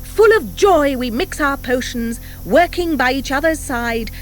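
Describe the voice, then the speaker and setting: A woman reader acts witch schoolgirls performing their school song for a storybook. The delivery is high, proud and declaimed, droning dutifully through each line. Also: expressive, measured pace, soft background music.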